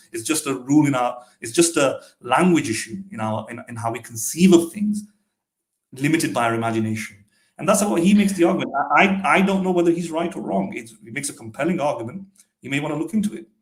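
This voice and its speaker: Man explaining philosophy in a roomy space. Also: mid-range voice. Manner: hesitant restarts, explanatory. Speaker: co-host. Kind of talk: philosophical discussion. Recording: roomy echo.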